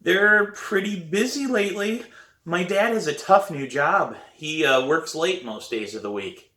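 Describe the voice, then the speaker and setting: A nervous, earnest sixth-grade girl from a storybook acted out by a man, her lines lifted high and swooping, in an echoey room. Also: very animated, moderate pace, fairly bright tone. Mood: nervous, evasive. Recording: roomy echo.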